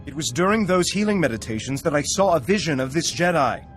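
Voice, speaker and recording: A man's voice: a little high, lively intonation, fast talker, fairly bright tone, soft background music. An actor playing an earnest, agitated Jedi in a video game, accusing and dramatic in his delivery. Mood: grave, ominous. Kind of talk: cinematic video game acting.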